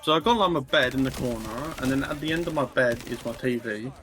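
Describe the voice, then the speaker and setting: A young man talking easily over a voice call. His delivery is relaxed and rambling, with plenty of "like". Game music plays clearly in the background. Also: mid-range voice, a little fast. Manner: laid-back, rambling, fills with "like". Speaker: friend on voice chat. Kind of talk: casual chat.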